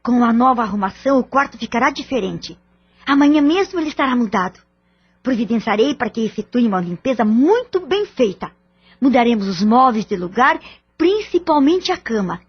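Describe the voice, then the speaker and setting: Actress as firm, composed middle-aged mother for audio novela; highish husky voice, deliberate theatrical lines, muffled recording. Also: big pitch swings, no room sound. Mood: reassuring. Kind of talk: Portuguese-language audio drama acting. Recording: muffled, lo-fi audio drama track.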